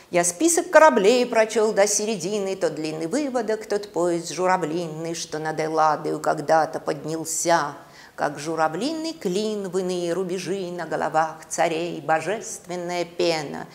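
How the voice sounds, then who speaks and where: Expressive, creaky-voiced middle-aged woman lecturing. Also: fairly low pitch. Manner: theatrical, expressive storytelling with deliberate pauses. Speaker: university lecturer. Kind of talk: dramatic lecture on literature and mythology. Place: classroom, indoors.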